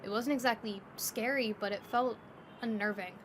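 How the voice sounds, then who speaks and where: A woman narrating a scripted second-person story in an expressive, descriptive storytelling manner. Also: fairly high pitch, moderate pace. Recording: faint background ambience noise.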